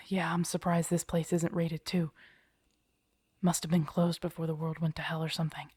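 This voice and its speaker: Casting: actress for protective zombie-apocalypse survivor, young woman, husky and dark-toned, hushed, halting, urgent, for intimate audio drama. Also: low-pitched, flat delivery, no echo. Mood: quiet, weary. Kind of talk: intimate audio roleplay acting.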